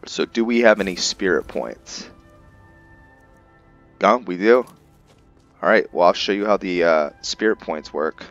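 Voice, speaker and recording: A man's voice: sits low, a little slow, long pauses, fairly bright tone, highs cut off. A man giving casual game commentary to viewers, rambling as he thinks aloud and explains things. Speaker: gameplay video creator, player. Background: music.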